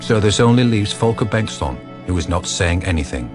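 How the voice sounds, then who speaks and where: A man narrating a radio drama as a measured, serious storyteller. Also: low-pitched, lively intonation. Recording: radio narration over clear music.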